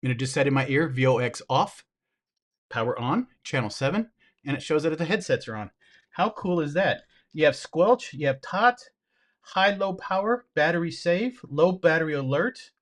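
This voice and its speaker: A man's voice: fairly high pitch, lively intonation, moderate pace. A man giving a casual product review and demonstration, talking informally to viewers as he handles the gear.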